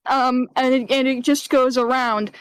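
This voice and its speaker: A woman's voice: sits high, steady tone, a little slow, a little hiss or hum, rough recording. A woman doing a jokey voice-over as a pretend emergency doctor, with mock-serious patter. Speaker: host voicing over a doctor clip. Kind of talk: comedic voice-over riff.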